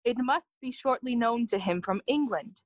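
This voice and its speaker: A woman's voice: a little high, expressive, normal speed. A woman playing a prince's loyal, concerned friend in a bilingual Shakespeare audio play, earnest and plainspoken.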